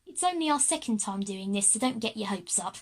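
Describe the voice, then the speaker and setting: A woman's voice: mid-range voice, animated, fairly quick, lightly acted. A woman voicing an anxious, reluctant schoolgirl in a children's audio drama, hesitant and protesting. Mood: nervous. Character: anxious, reluctant schoolgirl heroine, self-doubting.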